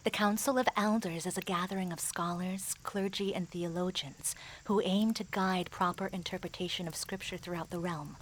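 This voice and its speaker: A woman playing a grave mystic advisor in a fantasy audio drama, speaking formally, in an earnest and measured way. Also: on the low side, fairly even intonation, a little hiss or hum, lightly acted, rough recording. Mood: hushed, pensive.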